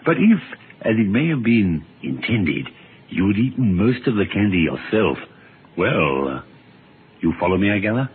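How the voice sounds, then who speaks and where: A man acting a methodical, dryly courteous country doctor in an old-time radio play, with a deliberate way of explaining. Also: fairly low pitch, big pitch swings, slight background noise. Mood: grave, explanatory. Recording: tinny old radio broadcast.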